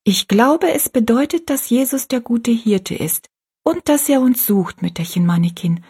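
Woman putting on an eager girl, earnest, high, breathy. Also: animated, measured pace, dark tone, heavily acted. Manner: earnest, pleading. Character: eager sweet young girl.

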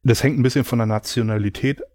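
A man's voice: medium pitch, steady tone, fast talker, a little mellow, no echo, clean studio sound. A man giving reflective, explanatory answers in a casual conversational interview. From Germany. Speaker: interview guest.

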